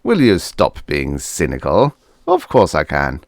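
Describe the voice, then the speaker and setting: A man's voice: very deep voice, wildly swooping pitch, measured pace, creaky, no echo, studio quality, full character voice. A man voicing a shifty older mad doctor in a science fiction audiobook, fussy, theatrical and patronizing.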